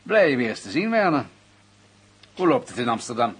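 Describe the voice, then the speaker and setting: An actor playing a guarded, bureaucratic intelligence official in a radio drama, speaking formally with a husky voice. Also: male voice, medium pitch, sing-song, brisk, noisy background, close to an everyday voice. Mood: businesslike, guarded. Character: guarded, bureaucratic male senior intelligence official, covering himself. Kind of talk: Dutch radio drama acting.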